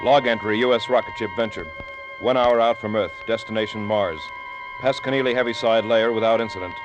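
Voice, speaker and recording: An actor playing a commanding American rocket ship captain, clipped and formal, in 1940s radio drama style. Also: male voice, fairly low pitch, fairly even intonation, fairly quick, over music, natural voice. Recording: dull vintage radio recording.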